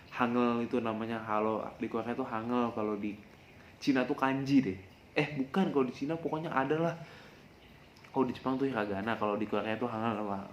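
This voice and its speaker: A man's voice: medium pitch, dull audio. A young man hosts a casual podcast, sharing tips in a rambling, self-correcting, confessional way and addressing listeners directly. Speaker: podcast host. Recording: echoey room with faint background noise.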